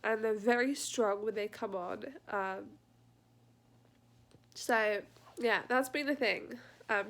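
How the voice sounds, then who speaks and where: A young woman with a fairly high voice that sounds stuffed up from congestion. She talks in a chatty, informal vlog style, like a casual personal update. Her delivery is unscripted and lighthearted about her own complaints. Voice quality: congested, blocked-nose sound. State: congested with a sore throat. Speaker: vlogger.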